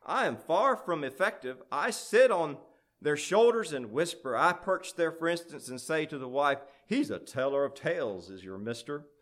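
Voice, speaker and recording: A man's voice: fairly high pitch, animated, normal speed, a bit bright, voice pushed up. A man voicing a sneering, insinuating demon of mistrust in a dramatic verse recitation. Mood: sneering, scheming.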